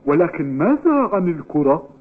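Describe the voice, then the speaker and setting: An actor playing an eager, good-natured young football player in a cartoon dub. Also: male voice, on the high side, sing-song, normal speed. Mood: chatty. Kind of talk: Arabic cartoon dub acting. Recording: tinny, faintly noisy TV dub.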